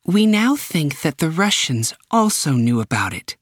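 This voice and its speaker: A man doing a composed woman intelligence director who speaks in a raised, dry voice. She is matter-of-fact and unshaken, and her lines stay even. She sounds like a storybook official. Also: fairly high pitch, unhurried, dry recording, pitched above the performer's own voice. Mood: calm.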